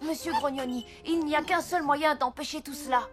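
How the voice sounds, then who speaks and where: A woman's voice: high voice, steady tone, brisk, close to an everyday voice. A woman voicing an earnest, brave young boy who admonishes others in a children's cartoon. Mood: urgent, admonishing. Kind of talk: animated cartoon acting. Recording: music behind the voice.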